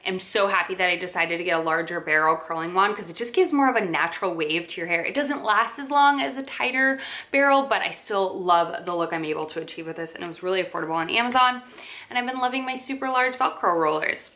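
A woman's voice: lowish voice, fast talker, bright, forceful tone. A young woman chatting to camera about her monthly favorites, casual and full of filler likes and ums, filmed close up in a bedroom. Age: young adult. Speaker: lifestyle vlogger. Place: bedroom with dresser and plant, indoors.

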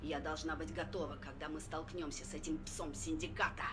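An actress playing a defiant, combative fugitive war leader in a game audio drama, brash and challenging. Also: female voice, low voice, steady tone, brisk, music in the background, lightly acted. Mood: defiant, urgent.

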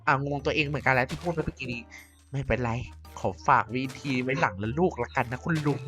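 A woman's voice: a bass voice, quick pace, a bit bright, faint music behind. A woman voicing a mischievous ancient vampire queen in a Minecraft cartoon, playfully scheming and muttering to herself. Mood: gleeful.

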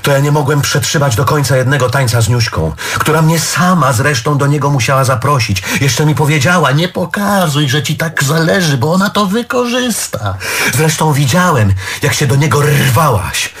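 A man's voice: fairly high pitch, animated, moderate pace, a bit bright, husky, exaggerated voice. An actor playing a jealous, wounded, fussy man in a stage drama, nagging and accusing in a repetitive way. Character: jealous, wounded man leaving his lover, brooding and fussy. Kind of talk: theatrical stage dialogue.

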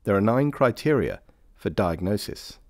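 A man reading scripted informational narration for a training film in a measured, formal manner. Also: lowish voice, big pitch swings, darkish tone, dry recording, clean studio sound. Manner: measured, formal, clearly phrased.

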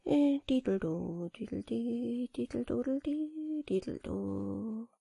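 A woman voicing a dazed, witless male thinker in a fairy tale, quietly humming nonsense syllables in a vacant singsong. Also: on the high side, lively intonation, slow, creaky, slightly rough, no room sound, full character voice.

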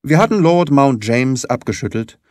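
A man narrating a literary story as an audiobook reader, expressive and articulate in his delivery. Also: mid-range voice, moderate pace, no room sound, clean studio sound.

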